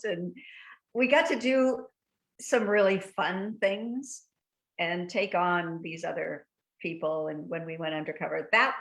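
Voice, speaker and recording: An older woman, a guest actress, chatting in an interview over a video call, telling lively stories and laughing often. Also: lowish voice. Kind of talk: casual interview chat.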